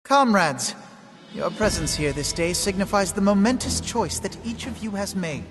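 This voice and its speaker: Casting: solemn, high-pitched fantasy game leader, formal rallying speech, clear musical score underneath. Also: male voice, animated, normal speed, music in the background. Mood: solemn, rousing. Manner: formal, ceremonious. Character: solemn, idealistic leader rallying sworn comrades. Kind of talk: scripted fantasy game oration.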